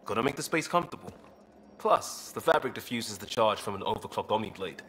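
An actor playing an easygoing young male crewman, a former cop, relaxed and joking. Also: fairly low pitch, brisk, a little hiss or hum.

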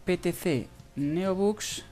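A tutorial host speaks with a Spain Spanish accent in a casual screen-recording style. The voice sits at a middling pitch and moves haltingly, often pausing and stretching out hesitations. Faint music plays behind the talk. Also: warm tone. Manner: halting, hesitant delivery with drawn-out pauses. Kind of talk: casual screen-recorded tutorial about earning money online. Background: background music.

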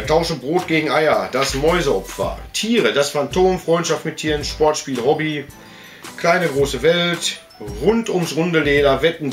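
Guy in his 40s chatting to camera, mid pitch, rambly and slangy. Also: fairly bright tone. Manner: colloquial, rambling, with frequent tag words. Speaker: host of a collectibles video channel. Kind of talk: casual chat to camera. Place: small indoor collector's room.

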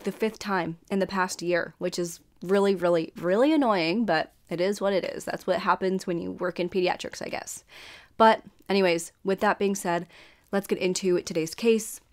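Scratchy-voiced young woman telling true crime. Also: mid-range voice. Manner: earnest, measured storytelling. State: scratchy, stuffy voice from a dry throat. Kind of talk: scripted true crime narration to camera. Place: small home room, indoors.

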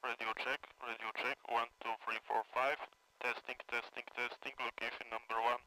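A man making repetitive radio test calls in deliberate, clipped phrases. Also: mid-range voice, little pitch movement, pushed and bright, dry recording, low-quality recording. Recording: faint noise, clicks, radio test audio.

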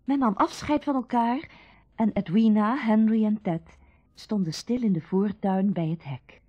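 An actress playing the storyteller of a radio drama, narrating a summer tale. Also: female voice, mid-range voice, measured pace, close to an everyday voice. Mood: calm storytelling. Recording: dull, roomy old radio recording.

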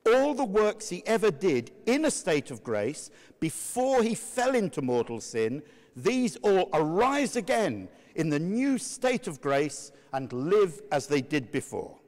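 Slow, oratorical man in a reverberant hall. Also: high voice, sing-song, a little mellow. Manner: measured, emphatic, oratorical. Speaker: narrator, reader. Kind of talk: dramatic reading of a medieval sermon. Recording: long reverberant hall echo.

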